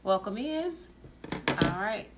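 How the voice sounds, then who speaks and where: A woman's voice: medium pitch, very animated, slow pace, slight background noise. Upbeat woman hosting a live podcast. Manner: upbeat, welcoming, rambling questions. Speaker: podcast host. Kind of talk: livestream podcast interview.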